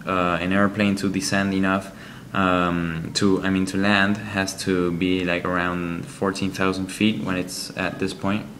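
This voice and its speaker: A man reviewing a game app, walking through its screens in a halting, explanatory way with frequent ums. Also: low-pitched, little pitch movement, a bit bright, slight background noise.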